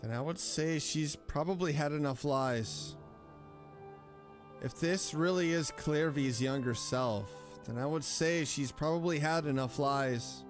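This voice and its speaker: A man's voice: a little high, unhurried. A man giving casual reaction commentary as he streams a game. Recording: game music behind the voice.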